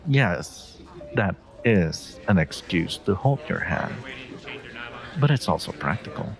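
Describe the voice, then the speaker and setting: A man playing a suave, teasing stranger who flirts in an intimate audio roleplay, pausing and hesitating playfully as he talks. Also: deep voice, vocal fry, full character voice. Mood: teasing, charming. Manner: teasing, hesitant pauses, frequent soft chuckles.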